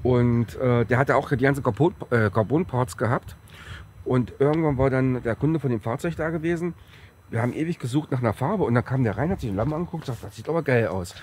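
A man in his forties with a German accent from the Halle region, a bit hoarse after a cold, chatting casually and rambling as he presents a car outdoors on a sunny lot. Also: mid-range voice, fast, darkish tone, slight background noise. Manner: chatty, rambling, with fillers and restarts. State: slightly hoarse from a recent cold. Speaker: tuning shop presenter. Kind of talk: casual on-camera car walkaround.

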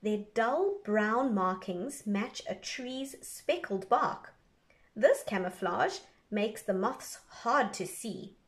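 A woman teacher reading an educational book aloud for young children in a measured, instructional way, enunciating carefully. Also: medium pitch, lively intonation.

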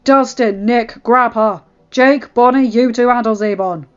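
A woman voicing a gruff male gang leader who gives orders, in a narrated story. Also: medium pitch, level tone, moderate pace, a little mellow, highs cut off, lightly acted. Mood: fierce. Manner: barking, growling orders.

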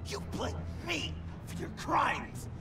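A manic clown vigilante for a video game, very high and creaky. His delivery swings wildly and theatrically, often pushed toward a shout. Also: big pitch swings, slow pace, far from the mic, music in the background, exaggerated voice. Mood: righteous fury. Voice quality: creaky, strident. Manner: theatrical, dramatic swings and emphasis. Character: manic, theatrical green-haired clown vigilante, adult man.

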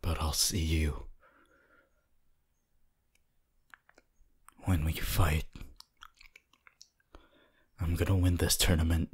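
A man voicing a cold, cocky, brooding teenage boy hero in an ASMR anime roleplay, in a husky, breathy murmur. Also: heavily acted. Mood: smug, resentful. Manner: slow, low murmur, often dropping to a whisper.

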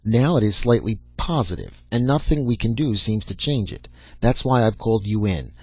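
A man's voice: on the low side, lively intonation, normal speed, a little mellow, slightly rough, no echo. A man voicing a crusty old professor in an audiobook, lecturing bluntly and with emphasis. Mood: grave. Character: old, crusty, commanding male professor and mentor. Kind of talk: audiobook character acting. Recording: dull lo-fi audio.

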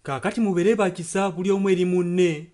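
A man's voice: high-pitched, fairly even intonation, fast talker, a crowd in the background. A Ugandan man interpreting a sermon earnestly, phrase by phrase. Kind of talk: consecutive sermon translation. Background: music.

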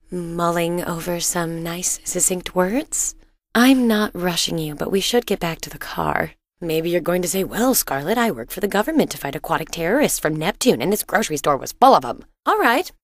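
An actress playing a loving, teasing suburban wife and mother in a video game, expressive and gently exasperated. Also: fairly low pitch, no room sound, studio quality. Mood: teasing, impatient. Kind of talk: cartoonish game dialogue, affectionate chiding.

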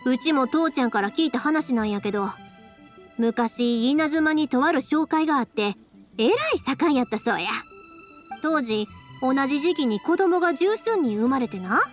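An actress playing a cheerful, warm-hearted young woman with a Kansai accent in an anime game, speaking in a friendly, breezy, folksy way. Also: high-pitched, expressive, moderate pace, soft background music. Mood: storytelling.

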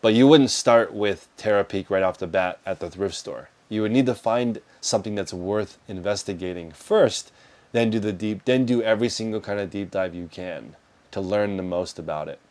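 A man in his thirties with a deep, low voice, talking through viewer questions in a relaxed, practical way. He gestures as he speaks, and a lapel mic picks him up up close in a storage room lined with shelves. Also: moderate pace. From United States. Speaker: reselling business host answering viewer questions. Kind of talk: casual livestream Q&A. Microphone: clip-on lapel mic.

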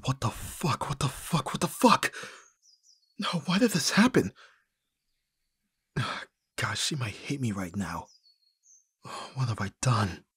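A man acting a flustered, husky young guy. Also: mid-range voice, sing-song, slow, long pauses, a bit bright, no room sound, exaggerated voice. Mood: panicked, regretful. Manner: hesitant, stammering, often dropping to whispers. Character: flustered, awkward hungover young man, listener's best friend. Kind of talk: ASMR roleplay audio drama acting.

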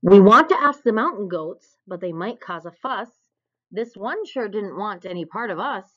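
A woman reading a children's picture book aloud in an expressive storytelling style. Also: mid-range voice, normal speed, husky. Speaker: storybook reader. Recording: dull, lo-fi recording.

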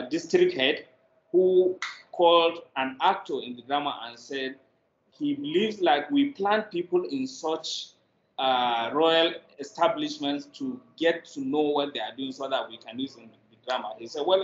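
A man's voice: fairly high pitch, normal speed. A middle-aged man with a Nigerian accent explains his work in an interview. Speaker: guest, drama producer. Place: indoor room, video call. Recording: roomy, muffled, noisy video call.

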